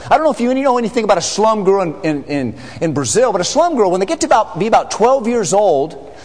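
A middle-aged American man, a missionary, telling stories to a church congregation in an animated, joking way. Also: sits high. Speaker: missionary speaker. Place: large church sanctuary. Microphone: far camera. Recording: reverberant sanctuary.

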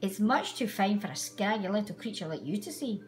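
A smug, selfish male crow from a children's storybook, voiced by a woman. He caws and taunts with big swings of pitch, music behind. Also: animated, a little fast, close to an everyday voice. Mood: smug, taunting. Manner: cawing, mocking.

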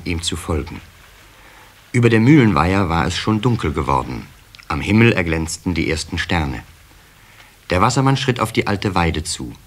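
A man narrating a scripted children's story in a radio play, as the storyteller. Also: low-pitched. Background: soft guitar music at times.

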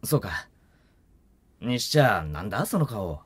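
A man playing a brooding, resigned young man in a Japanese anime audio drama, with terse, gruff, low-key delivery. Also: very animated, a little slow, no echo, exaggerated voice. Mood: probing.

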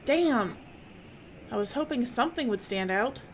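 An actress playing a bossy, impatient, sharp-tongued filmmaker in an audio drama. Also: female voice, a little high, lively intonation, measured pace. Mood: sarcastic. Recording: dull, noisy audio drama recording.

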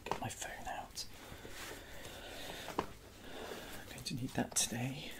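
A man in his thirties doing a soft-spoken ASMR roleplay as a rude, bitter actor, muttering in a world-weary, grumbling way. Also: mid-range voice, steady tone, slow pace, vocal fry. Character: rude, bitter male actor signing autographs. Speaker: roleplay performer. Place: small indoor set at a desk.

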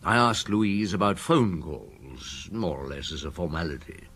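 A seasoned police inspector in a radio drama. His voice is low and moves freely up and down, with a wry, unhurried, dry-humoured ease. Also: expressive, very noisy. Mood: amused, reminiscing. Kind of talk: British radio drama acting.

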